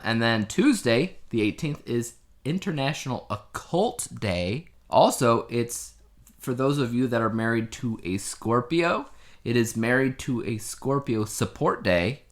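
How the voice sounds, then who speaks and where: Male co-host, medium pitch, casual conversational explainer for a two-person chat show. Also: expressive, unhurried. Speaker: podcast co-host.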